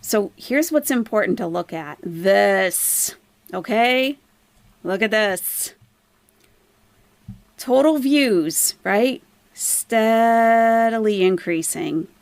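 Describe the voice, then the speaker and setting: A woman's voice: medium pitch, lively intonation, very slow, no room sound. A woman hosting a casual live commentary stream, explaining things emphatically to viewers and asking rhetorical questions. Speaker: livestream host, YouTube commentator.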